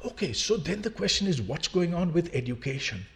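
Indignant older male lecturer, animated pitch. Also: brisk. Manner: emphatic, indignant, rhetorical questions. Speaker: university professor. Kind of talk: public lecture.

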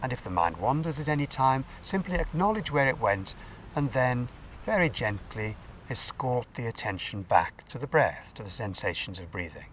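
Man guiding meditation, expressive, distant mic. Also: medium pitch. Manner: gentle, unhurried instruction with pauses. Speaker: meditation teacher. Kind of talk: guided meditation. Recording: distant mic, faint background noise.

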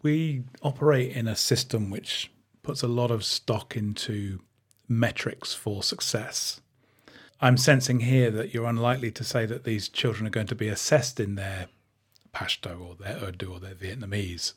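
A man interviewing a guest, asking thoughtful questions in a hesitant, halting way. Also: mid-range voice, husky.

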